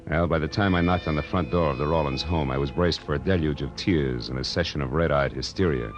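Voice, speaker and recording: An actor playing a hard-boiled, sardonic American private detective in 1940s radio crime drama style, with terse, tough talk. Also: male voice, rumbling low. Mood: wry narration. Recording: muffled old radio recording, loud music.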